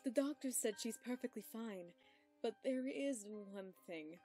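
A woman voicing an overprotective cartoon pony queen, an anxious, gentle mother who frets. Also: on the high side, expressive, measured pace. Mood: worried. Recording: music clearly behind the voice.

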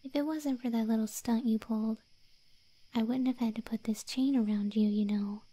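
A woman's voice: fairly high pitch, fairly even intonation, mellow, dry recording. Woman acting a whispering, sweetly possessive moth girlfriend. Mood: possessive, teasing. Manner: breathy whispering, cooing, unhurried. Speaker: ASMR roleplay performer. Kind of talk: whispered ASMR roleplay. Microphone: close microphone, dry.

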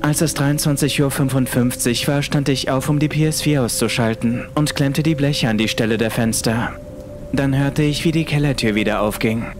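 A man's voice: medium pitch, normal speed. A man reading a scripted horror story as the narrator of an audiobook. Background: music.

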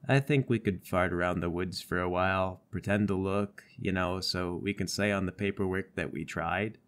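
A man's voice: fairly even intonation, normal speed, natural voice. Glum, muttering slacker cop played by a man. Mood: resigned. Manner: muttering, low-key, resigned. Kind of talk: improvised comedy tabletop roleplay.

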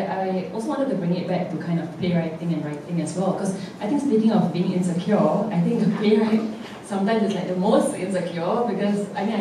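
A woman moderating a post-show panel, asking questions quickly. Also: on the low side. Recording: echoey room, distant mic, noisy.

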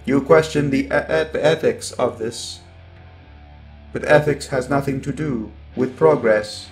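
Man voicing a slow, glitching evil robot overlord. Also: medium pitch, soft background music, lightly acted. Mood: defiant, grandiose. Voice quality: glitching, stuttering speech. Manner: cold, grandiose, deliberate. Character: sinister genocidal robot overlord with damaged speech module. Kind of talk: ASMR roleplay monologue.

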